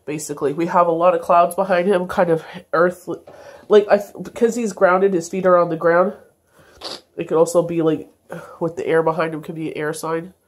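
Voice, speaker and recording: A woman's voice: lowish voice, steady tone, normal speed, a little mellow. A congested woman giving a casual daily tarot reading, meandering with many fillers and self-corrections. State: congested, stuffy nose. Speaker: tarot reader.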